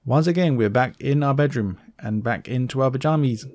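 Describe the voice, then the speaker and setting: A man's voice: mid-range voice, fairly quick, darkish tone, dry recording. A man giving a casual video game walkthrough, offhand, often correcting himself and slipping in joking asides. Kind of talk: casual gameplay walkthrough commentary.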